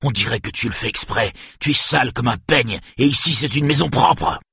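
A man's voice: sits low, normal speed, breathy edge, no room sound, studio quality, full character voice. A man voicing a harsh, exasperated father who scolds his young daughter in a stern, angry voice for an audiobook. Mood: furious yelling. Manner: stern, shouting when angered.

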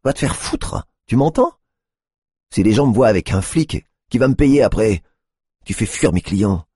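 A man's voice: on the low side, expressive, a little fast, warm tone, breathy edge, no room sound, studio quality, voice pushed up. A man voicing an agitated, drug-addicted female sex worker who mocks and swears at a detective. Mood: hostile. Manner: mocking, aggressive, swearing.

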